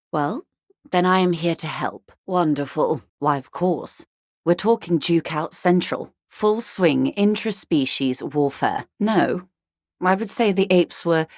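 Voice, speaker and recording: Posh rich middle-aged negotiator lady from a video game, low voice, dry clean recording, wry and formal, very composed. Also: no echo. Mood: polite, obliging. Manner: measured, eloquent, dryly ironic, formal. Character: poised wealthy middle-aged female corporate negotiator, wry and worldly. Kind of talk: video game character dialogue.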